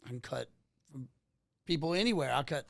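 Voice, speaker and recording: A man's voice: medium pitch, lively intonation, a little fast, creaky, a bit bright, no room sound. A man from Louisiana with a Southern US accent, chatting casually and ramblingly as a musician guest, often tossing in "man". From Louisiana, USA. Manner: folksy, rambling, peppers talk with "man". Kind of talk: casual podcast chat.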